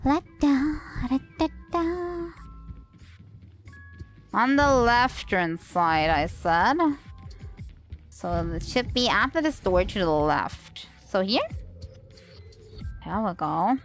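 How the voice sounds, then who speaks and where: A woman gives casual commentary on a game livestream. Her voice is fairly high and she takes her time, rambling in a playful, self-deprecating way. Faint game music runs behind her. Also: sing-song, slow, long pauses.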